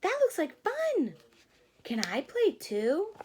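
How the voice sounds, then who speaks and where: A woman's voice: extremely high pitch, wildly swooping pitch, slow pace, a little mellow, slight background noise, pitched above the performer's own voice, exaggerated voice. A woman voicing a small young caterpillar in a children's storybook, friendly, hopeful and naive, asking things eagerly and politely. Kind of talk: children's storybook read-aloud.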